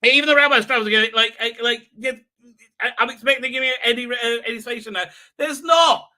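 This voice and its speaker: A man hosting a fan review livestream, giving a rambling, halting, sarcastic recap with repeated words and exasperated outbursts. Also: very high-pitched, bright, forceful tone. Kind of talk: casual mocking episode recap.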